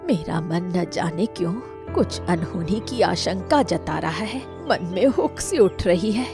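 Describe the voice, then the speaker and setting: A woman's voice: on the low side, lively intonation, measured pace, music in the background. A woman playing an anxious, sorrowful elderly village mother in a cartoon story, with a plaintive, lamenting manner. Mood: anxious foreboding. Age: older. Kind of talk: Hindi moral cartoon story dialogue.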